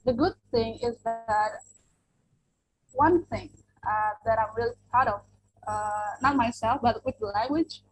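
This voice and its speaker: A woman's voice: fairly high pitch, measured pace, faint music behind, rough recording. A young adult woman from Indonesia, an English teacher whose first language is Indonesian, answering interview questions earnestly with many fillers and restarts.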